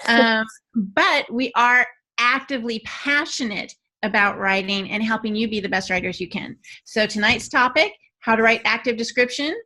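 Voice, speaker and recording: Bright-voiced woman hosting a podcast. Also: fairly high pitch, moderate pace, poor audio. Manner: playful, joking. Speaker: podcast host and producer. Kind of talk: podcast hosting, casual banter.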